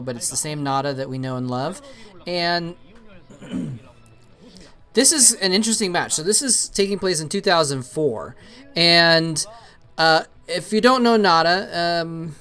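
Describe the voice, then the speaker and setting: A man's voice: on the high side, bright, forceful tone. A young man hosting and commentating an old esports match solo, chatty and rambling with many fillers, lighting up at the plays. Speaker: esports commentator, show host.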